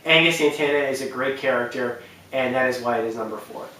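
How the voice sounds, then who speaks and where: Male countdown host, quick rambling delivery, enthusiastic, heavy room echo, distant mic. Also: mid-range voice, very noisy. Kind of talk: enthusiastic casual commentary.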